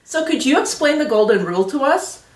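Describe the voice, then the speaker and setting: Lady explaining stuff simply to kids like a sunday school teacher, very lively pitch, echoey room, off the mic. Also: fairly quick. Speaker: Sunday school storytime host. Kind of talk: explaining simply to children, with Bible reading and interviewing. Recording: roomy echo, distant from microphone.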